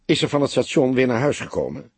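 A man voicing a patient male police detective who asks short, probing and sometimes gentle questions in an audiobook. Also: medium pitch, sing-song, fast, breathy edge, no room sound, voice pushed up. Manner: brief probing questions, hesitant and gentle at times. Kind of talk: audiobook character dialogue.

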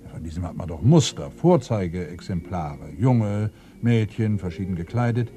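A suspicious detective thinking aloud in a radio drama. His mid-pitched voice is dark and soft, with very lively intonation as he presses his questions. Opera music plays faintly behind. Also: normal speed. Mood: probing. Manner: probing, rattling off questions and lists. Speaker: actor in radio crime drama. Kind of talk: 1990s German radio play acting.

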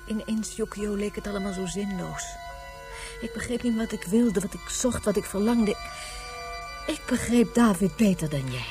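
A woman playing a grieving, reproachful mother in a radio play, with dramatic, halting, emphatic delivery. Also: medium pitch, over music, lightly acted. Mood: reproachful, bitter. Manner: dramatic, halting, emphatic, drops to breathy whispers. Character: despairing, reproachful grieving mother of a lost child.

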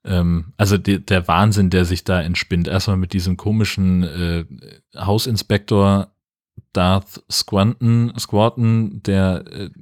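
A man's voice: deep voice, steady tone, slow, darkish tone. A man co-hosting a casual film podcast in a wry, conversational way. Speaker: podcast co-host.

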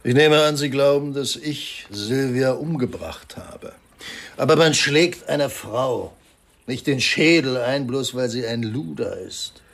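An actor playing a bitter, hard-drinking writer, sardonic, weary and dismissive, in a German radio crime drama. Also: male voice, medium pitch, a little slow, gravelly, fairly bright tone. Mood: bitter, cynical.

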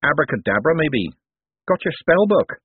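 A spiky, curt young woman who cuts people off bluntly, as a man voices her. The voice is pitched up, husky and breathy, and it comes over a tinny, phone-like line. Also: sing-song, normal speed, no echo, full character voice.

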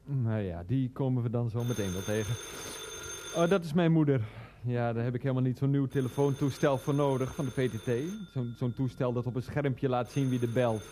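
An actor playing a hapless, earnest middle-aged husband and son in a radio play, hesitant, explanatory and easily defensive. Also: male voice, mid-range voice, a little fast, warm tone, a little hiss or hum, lightly acted. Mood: chatty. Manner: hesitant, explanatory, petulant with his mother. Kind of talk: radio play acting, monologue and phone dialogue.

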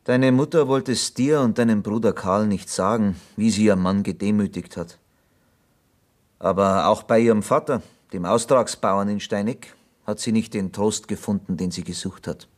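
An actor playing a patient, probing investigator in a German radio play, measured and insistent. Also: male voice, fairly low pitch, natural voice. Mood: grave, explanatory. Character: patient, probing investigator questioning a farm boy.

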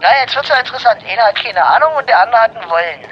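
A bright, high, bouncy teenage boy, as a man puts him on, cheeky and dim, who stammers and teases down a muffled phone line. The pitch leaps all over. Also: lively intonation, rapid-fire, some chatter behind, slight background noise. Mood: teasing. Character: cheeky teenage boy, a 17-year-old little brother. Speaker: phone caller.

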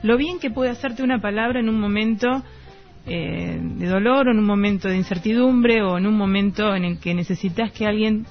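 A woman's voice: mid-range voice, normal speed. A woman with an Argentine Rioplatense accent co-hosting a literary radio programme. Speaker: radio co-host. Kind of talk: literary radio talk with poetic narration and read quotes. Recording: dull lo-fi radio recording. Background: background music.

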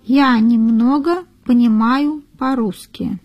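A woman reading model sentences for learners in a careful, deliberate way, repeating each phrase. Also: a little high, darkish tone, husky, dry recording, lo-fi sound. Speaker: native-speaker model reader.

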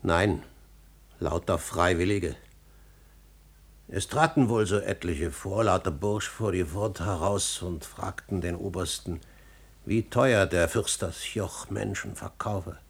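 An actor playing an old, grieving manservant in a classical German radio drama, weary, restrained and bitterly ironic. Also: male voice, deep voice, slow pace, husky, exaggerated voice. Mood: bitter grief.

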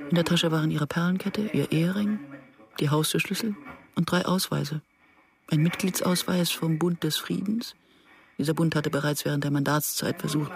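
A woman's voice: deep voice, gravelly. An actress playing an anxious, introspective woman who narrates a radio drama in the first person. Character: anxious, introspective Israeli woman recalling her past. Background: music.

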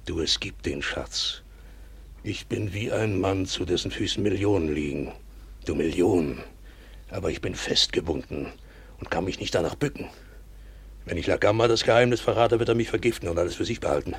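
An actor playing a weak, unhappy middle-aged European trader and father in a German radio drama, husky, beleaguered and self-pitying. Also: sits low, moderate pace, heavily acted. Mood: feverish longing.

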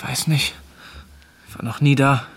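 An actor playing an earnest seventeen-year-old farmhand and hopeful suitor in a naturalistic radio play, plain-spoken and coaxing. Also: male voice, medium pitch, fairly even intonation, takes it slowly, a little hiss or hum. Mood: tentative, hopeful. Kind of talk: naturalistic radio play acting with first-person narration.

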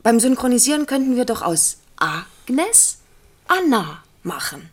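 An actress in a German radio drama playing an earnest film actress who pleads and coaxes in a hesitant, halting way. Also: female voice, on the high side, big pitch swings. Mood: hesitant, pleading. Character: earnest, wheedling film actress pleading over her role.

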